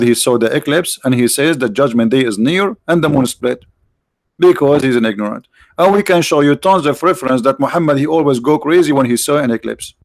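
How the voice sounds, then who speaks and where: A man's voice: mid-range voice, no echo. A man hosting a livestream, talking casually and sarcastically, with many restarts and fillers. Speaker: livestream host.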